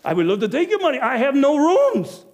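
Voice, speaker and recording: A middle-aged innkeeper who counts every coin and still feels the guilt, as a man plays him in a monologue. His voice sits high and jumps about restlessly. He talks with emphatic force, then sinks low. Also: moderate pace. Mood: insistent. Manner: animated, emphatic, swings loud to hushed. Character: middle-aged male innkeeper, money-minded and guilt-ridden. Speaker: actor. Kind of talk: dramatic first-person monologue.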